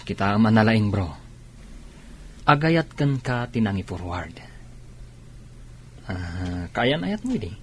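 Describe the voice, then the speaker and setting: A man's voice: fairly low pitch, big pitch swings, slow, pauses often, a little hiss or hum. An actor playing a frank, concerned male friend in a radio drama, with a casual coaxing manner. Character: concerned, frank male buddy giving advice.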